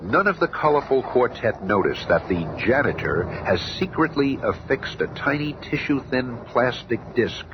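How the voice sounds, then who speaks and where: Male radio drama narrator, animated, dramatic, muffled noisy 1970s recording. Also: medium pitch, moderate pace, few pauses. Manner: dramatic storyteller. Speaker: story narrator. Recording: dull, noisy old radio tape.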